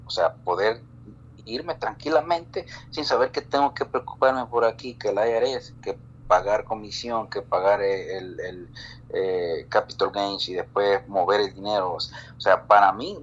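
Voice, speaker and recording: A man from El Salvador speaks in a very low, deep voice, stumbling through restarts and fillers as he chats casually on a live stream. The sound is a little dull and lo-fi, with a faint hum underneath. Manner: halting, many restarts and fillers. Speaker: guest.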